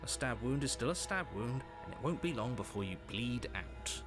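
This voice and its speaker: An actor playing a stern, sardonic game narrator with formal, deliberate delivery. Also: male voice, medium pitch, expressive, natural voice. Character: stern, dry male narrator urging a killing. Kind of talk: scripted fairytale game narration. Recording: clear music bed behind.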